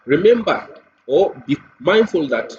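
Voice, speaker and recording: A man with a Nigerian accent gives an unscripted, step-by-step spoken walkthrough as a software demonstrator. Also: fairly high pitch, very animated, a little slow. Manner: step-by-step explaining. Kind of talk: screen-recorded product walkthrough, unscripted. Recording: dull lo-fi mic with faint noise.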